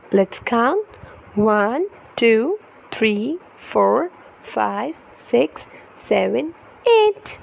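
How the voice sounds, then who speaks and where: A woman's voice: on the high side, creaky, rough recording. A woman reading a picture book aloud to young children in a teacherly way, with questions and exclamations.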